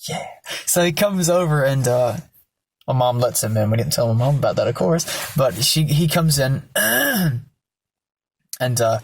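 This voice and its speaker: Hoarse young man rambling to camera. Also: medium pitch, animated, a little slow, no room sound. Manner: rambling, loose, swears freely. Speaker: vlogger. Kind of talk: casual storytelling vlog. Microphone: self-filmed camera.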